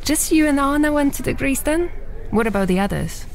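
A woman's voice: sits high, expressive, a little fast, vocal fry. A woman playing a friendly, curious café worker in a naturalistic audio drama. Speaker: café server.

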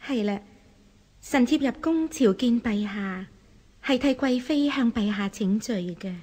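An actress playing a deferential, pleading noblewoman at the Chinese imperial court in a historical radio drama, with a husky voice. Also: fairly high pitch, expressive, unhurried, dark tone.

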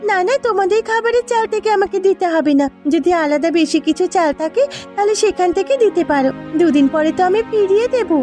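A woman plays a caring village wife in a cartoon. Her voice is very high, with an earnest, pleading delivery. Music runs softly underneath. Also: fast talker. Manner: pleading, solicitous. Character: caring, earnest poor farmer's young wife. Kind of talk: Bengali cartoon voice acting.

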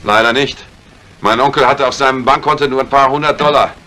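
A man's voice: medium pitch, steady tone, a little fast, bright, forceful tone, lightly acted. An actor playing a wry businessman, casual in manner, in vintage thriller style. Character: wry businessman heir denying his fortune. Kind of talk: 1950s German dubbed thriller acting. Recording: faintly noisy vintage soundtrack.

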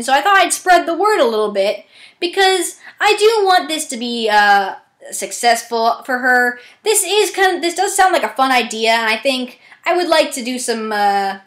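A woman's voice: high-pitched, animated, moderate pace, a bit bright. A young adult woman chatting casually in a rambling, self-deprecating way about auditioning as an amateur voice actor. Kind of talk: casual chatty vlog narration. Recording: echoey room.

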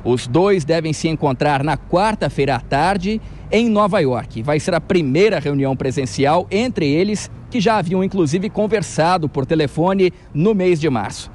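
A man's voice: fairly high pitch, expressive, moderate pace, a little mellow. A Brazilian man in his thirties delivering a live news report into a handheld microphone outdoors. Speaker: field reporter. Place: outdoors at night in front of a large building. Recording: outdoor live feed with ambient noise.

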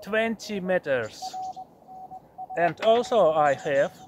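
A man in his thirties presenting a radio hobby vlog outdoors in short, plain, halting phrases. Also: high-pitched, expressive, background noise. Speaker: amateur radio operator presenting his field outing. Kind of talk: simple scripted vlog narration. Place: outdoors in a snowy forest.

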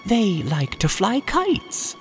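A man voicing a sly, sinister cat in a storybook, with an unhurried, drawn-out, lilting delivery. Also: high voice, huge pitch swings, faint music behind, pitched above the performer's own voice, heavily acted. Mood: sly, gleeful. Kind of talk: storybook read-aloud.

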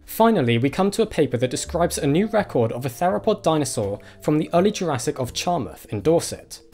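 A young man presenting scripted science news in a lively, clear delivery. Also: on the high side, fairly quick, soft background music. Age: young adult. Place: room with bookshelves and dinosaur models.

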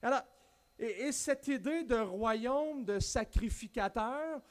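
High-voiced Québécois pastor preaching animatedly. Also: male voice, takes it slowly. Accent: Québécois French. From Quebec. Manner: animated, gesturing widely, many restarts and spoken asides. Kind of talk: sermon. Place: church stage at a wooden pulpit, indoors.

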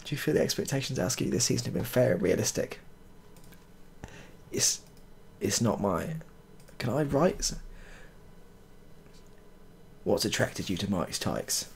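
A man's voice: medium pitch, lively intonation, normal speed, vocal fry, pauses often, slight background noise. A man in his thirties giving casual, joking game commentary, muttering in mock exasperation with mild swearing and repeated phrases. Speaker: gaming video creator.

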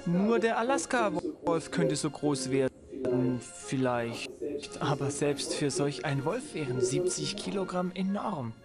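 Halting scientist with swooping intonation. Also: male voice, a little high, animated. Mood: studious. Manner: halting, deliberating, searching for words. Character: hesitant, meticulous male lab scientist examining specimens. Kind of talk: German-dubbed video game dialogue. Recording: loud music behind the voice. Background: loud game music.

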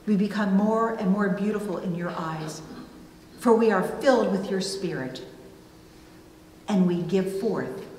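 A middle-aged woman preaching a sermon from a pulpit, with deliberate, emphatic phrasing and pauses. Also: mid-range voice. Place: indoors at a church pulpit. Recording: roomy, reverberant church, distant, faint noise.

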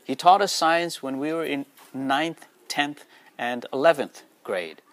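A man from Nepal, whose first language is Nepali, speaks earnestly to a room of students and keeps checking in with them. His voice sits a little higher than most men's. The sound is dry and close, with almost no room echo. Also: a little slow, fairly bright tone. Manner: earnest, asks the audience questions. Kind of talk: motivational school talk.

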